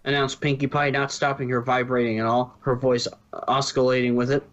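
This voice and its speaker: A man's voice: medium pitch, little pitch movement, brisk, a bit bright. A man narrating fan fiction informally, stumbling over words now and then, on a muffled home recording.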